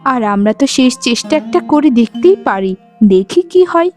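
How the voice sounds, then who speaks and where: A woman's voice: high-pitched, expressive, normal speed, darkish tone, faint music behind. A woman voicing an earnest, hardworking young village wife in a cartoon, persuading politely and reassuringly. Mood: earnest, hopeful. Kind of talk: Bengali moral-story cartoon voice acting.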